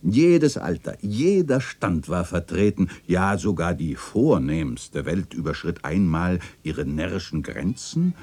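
A man acting a genial, bookish bachelor in a literary radio play, speaking in a courtly, formal and expressive way. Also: low-pitched, slow, few pauses, husky, heavily acted. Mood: wistful, observant. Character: genial bachelor neighbour, bookish storyteller of old Germany.

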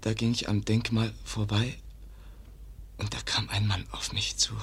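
Wounded young man, breathy whisper, very husky, slow halting clipped speech, radio play. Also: lowish voice, completely flat delivery, fairly bright tone, heavily acted. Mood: weak, faltering. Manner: broken, clipped sentences, slow and faint.

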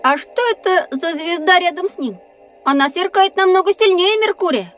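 A woman's voice: very high-pitched, normal speed, a bit bright, faint music behind. A woman voicing a curious, easily amazed young pupil with an eager, wondering manner in an educational audio drama.

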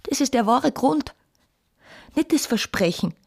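Husky, bitter mistress. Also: female voice, high voice, animated, a little slow, full character voice. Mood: accusing. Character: bitter, demanding mistress, mocking and disillusioned. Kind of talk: radio drama dialogue.

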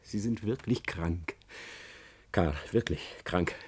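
An actor playing a condescending, defensive university professor in a German radio play, patronising and quick to take offence. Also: male voice, low-pitched, darkish tone, breathy edge, full character voice. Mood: outraged. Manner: patronising, indignant.

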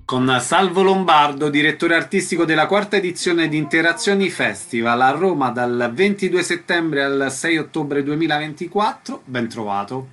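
A man's voice: fairly high pitch, lively intonation, normal speed, few pauses, a bit bright, faint music behind. A man presenting on radio as host and interviewer, in a concise announcer-like way.

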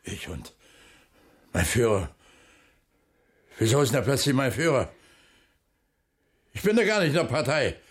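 A man's voice: mid-range voice, sing-song, a little slow, long pauses, husky. An actor playing a taciturn, dutiful middle-aged foreman and husband in a radio drama, terse and plain-spoken. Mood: defensive.

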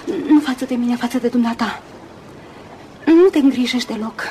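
An actress playing an earnest, lovelorn young woman in a Romanian radio play, speaking with halting intensity. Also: a little high, darkish tone, a little hiss or hum, lightly acted. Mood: pleading, emotional. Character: Susan, earnest lovelorn young woman, self-effacing but insistent. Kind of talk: Romanian radio theatre acting.

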